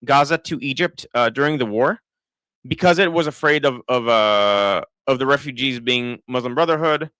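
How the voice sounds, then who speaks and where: Israeli man with an American-sounding accent, dry and bright. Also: medium pitch, moderate pace, clean tone, no echo, rough recording. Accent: American-sounding English. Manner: thinking aloud, many restarts and fillers. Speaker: political commentator. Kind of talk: live-stream commentary reading chat.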